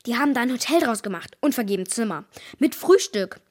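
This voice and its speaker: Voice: mid-range voice, animated, fairly quick, close to an everyday voice. An actor playing an eager, persuasive schoolboy in a children's radio play. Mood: pleading, eager.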